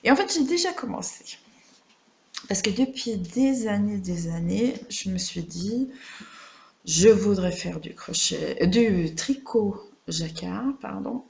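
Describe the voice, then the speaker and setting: A woman chatting casually and without a script on a personal craft vlog, reflective and self-deprecating, often pausing to find her words. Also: mid-range voice, expressive, gravelly, a little mellow. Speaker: craft vlogger.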